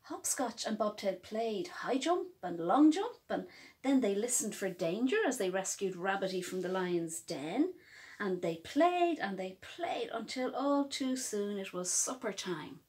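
A woman reading a picture book aloud as a storyteller, with expressive, emphatic phrasing for young children. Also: medium pitch, a little slow.

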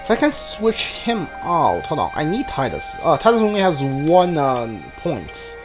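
Male game streamer, slightly high pitch, offhand and hesitant play-by-play over faint music. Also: sing-song, measured pace. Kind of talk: casual gameplay commentary. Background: video game music.

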